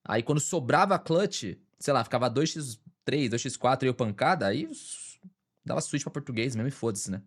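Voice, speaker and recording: Relaxed man chatting on stream. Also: medium pitch, moderate pace, breathy edge. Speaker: streamer reacting to a video. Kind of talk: casual reaction chat.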